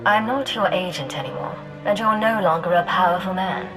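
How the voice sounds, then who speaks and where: Game casting: tough imperial ex-agent, direct and challenging, average female pitch, faint music underneath. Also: expressive, fairly quick. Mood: confrontational. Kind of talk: scripted video game acting.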